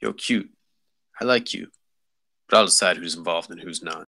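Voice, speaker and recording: A man's voice: sits low, moderate pace, creaky, sharp tone, full character voice. A man in a script table read playing a cocky street thug leader, condescending and threatening. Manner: condescending, taunting.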